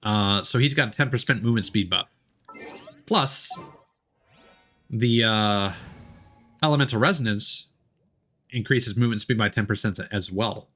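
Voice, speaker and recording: A man's voice: lowish voice, a little slow, long pauses, pushed and bright, soft background music. A man giving casual, informal, sweary live commentary as a game streamer.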